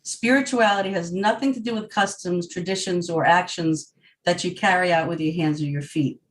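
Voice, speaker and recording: A woman's voice: lowish voice, poor audio. Friendly New woman co-host. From New York, USA. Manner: friendly, conversational, slightly halting. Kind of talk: hosting an online discussion. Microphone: video call.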